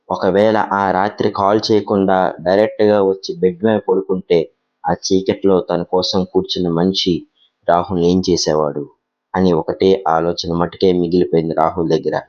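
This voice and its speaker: A man's voice: lowish voice, darkish tone, no room sound. A man narrates a scary tale as a storytelling host. The recording is dry, with very little room sound, and slightly dull and lo-fi. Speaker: horror story channel host.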